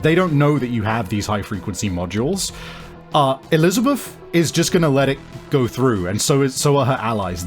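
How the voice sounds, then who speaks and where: A man runs a tabletop game as its game master. His voice sits at a middle pitch, and he explains and describes off the cuff in halting phrases. Music plays clearly behind him. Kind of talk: improvised narration of a tabletop game.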